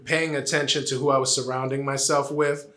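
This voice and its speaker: A man in his forties from New Jersey answers interview questions at length, earnest and a little meandering, often pausing on "uh". His voice is bright and forward. The room gives it a clear echo. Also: mid-range voice, little pitch movement. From New Jersey, Puerto Rican family. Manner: earnest, lots of "uh" and "you know", repeats phrases. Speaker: interviewee, college administrator.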